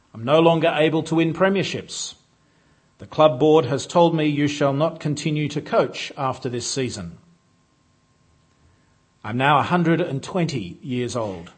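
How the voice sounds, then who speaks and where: A man preaching a sermon in a church, measured and unhurried, with frequent "uh" fillers. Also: medium pitch, husky.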